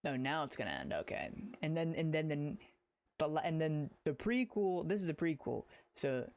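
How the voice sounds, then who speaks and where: A man giving casual livestream game commentary, halting and rambling as he repeats his phrases. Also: fairly high pitch, big pitch swings, a little mellow. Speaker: game streamer.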